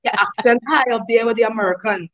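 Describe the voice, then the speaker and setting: A young Trinidadian woman with a high voice talks easily as a guest on an interview show. She laughs often and keeps dropping "like" and "you know" as she explains things. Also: quick pace, rough recording. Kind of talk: casual interview chat.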